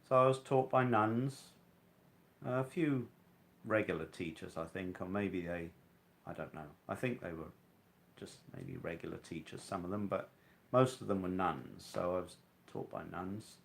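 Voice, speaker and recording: A man's voice: sits low, steady tone, a little slow, vocal fry, pauses often. A man in his sixties casually reminiscing, telling his story in a reflective, hesitant, rambling way. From Wales, then London from age eight. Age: 60s. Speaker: interviewee. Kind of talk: casual reminiscing about childhood.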